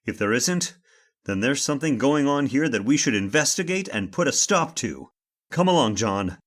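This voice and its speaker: An actor playing a stern, stubborn master leading a search, speaking in commanding, impatient, formal old-fashioned phrases in the style of radio horror. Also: male voice, medium pitch, expressive, moderate pace, natural voice. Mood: indignant.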